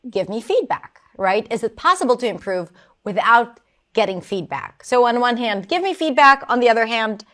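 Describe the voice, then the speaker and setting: A woman coaching a learner on English pronunciation, answering his recorded question. She speaks in an encouraging, expressive way, with a voice pitched a little above the usual for women.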